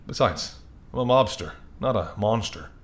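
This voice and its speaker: A man's voice: lowish voice, expressive, normal speed. A man playing an apologetic, wry and courteous male mafia boss in an audio roleplay, speaking conversationally and sardonically straight to the listener. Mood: apologetic, charming. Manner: conversational, sardonic, exasperated, softening into polite charm.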